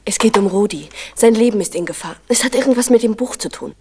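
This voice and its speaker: A woman's voice: mid-range voice, lively intonation, fast talker, warm tone, a little hiss or hum, natural voice. An actress playing an anxious woman pleading to protect her man in a vintage radio crime drama.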